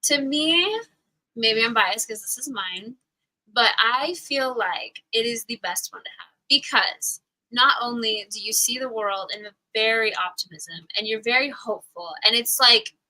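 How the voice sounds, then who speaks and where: A woman's voice: a little high, animated, a little slow, pushed and bright, rough recording. A woman teaching a live astrology class in a casual, chatty way, full of fillers and frequent laughs.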